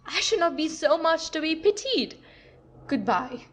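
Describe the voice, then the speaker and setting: Woman as offended innocent wife, high voice, plaintive, formal, theatrical, stage comedy. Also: very animated, measured pace, a bit bright, slight background noise, heavily acted. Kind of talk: classic stage comedy audio play.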